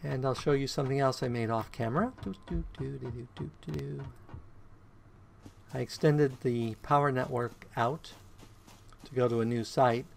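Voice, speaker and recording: A man giving casual gameplay commentary on his own play, a bit croaky today, counting items aloud and humming to himself. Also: medium pitch, normal speed, a little hiss or hum. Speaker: game streamer.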